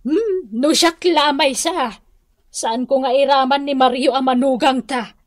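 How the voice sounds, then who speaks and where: A woman playing a worried, scolding mother of a grown daughter in an Ilocano-accented radio drama, sighing and admonishing in drawn-out phrases. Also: sits high, dry recording, studio quality. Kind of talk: Filipino radio comedy drama acting.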